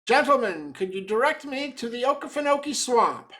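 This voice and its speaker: A man reading a storybook tale aloud as a calm, determined old trapper, polite and deliberate. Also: very high voice, pitched above the performer's own voice, full character voice. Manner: courteous, deliberate.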